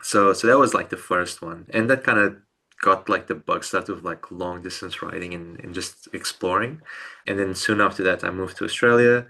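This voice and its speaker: A man's voice: low voice, normal speed, vocal fry, sharp tone. A young man from Portugal, a podcast guest telling bike travel stories in a hesitant way, often repeating words. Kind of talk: casual storytelling interview.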